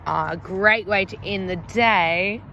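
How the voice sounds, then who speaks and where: A young woman teacher, reading a picture book aloud to young children in a slow, exaggerated, sing-song way, recorded outdoors on a phone. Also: mid-range voice, sharp tone, a little hiss or hum. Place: outdoors under trees.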